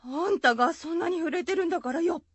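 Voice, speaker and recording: A woman's voice: extremely high pitch, fast, no room sound. A woman playing an anxious, caring rustic wife in a folk-tale radio drama, fretful and questioning, with Shizuoka dialect. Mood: anxious, curious.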